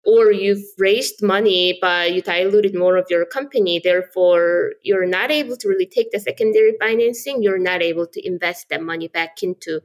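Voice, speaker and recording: A woman in her thirties from South Korea talks as a startup founder in a podcast interview. Her voice sits a little low. She explains things earnestly and often starts with "so" or "absolutely.". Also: moderate pace, hardly any pauses, poor audio.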